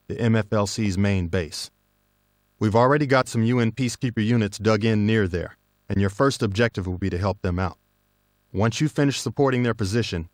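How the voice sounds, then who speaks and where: A stern military officer gives a brisk, matter-of-fact mission briefing, voiced by a man in a game cutscene. His voice sits low and plain. The sound is close and dry, with no room around it. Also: dry recording, natural voice.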